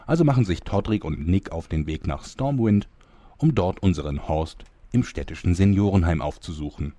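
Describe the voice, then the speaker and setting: A man narrating a scripted fantasy parody audio drama as a deadpan storyteller. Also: low voice, moderate pace, dry recording. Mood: measured, dry.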